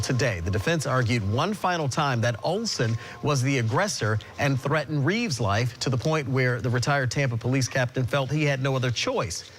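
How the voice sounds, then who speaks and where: A man's voice: mid-range voice, a little hiss or hum. A man with an American accent reading broadcast news as a TV anchor.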